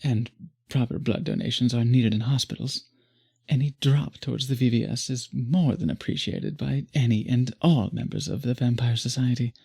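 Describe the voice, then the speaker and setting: A man voicing a courtly, possessive vampire in an intimate roleplay audio, with formal, florid, beseeching speech and a breathy voice. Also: medium pitch, normal speed, dark tone. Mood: apologetic, formal. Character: courtly, obsessive, possessive male vampire pleading with the listener.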